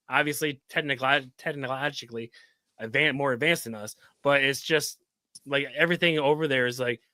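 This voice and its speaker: A man's voice: mid-range voice, sharp tone, no echo. An American man hosting a casual interview show in an informal, rambling way. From United States. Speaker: talk show host.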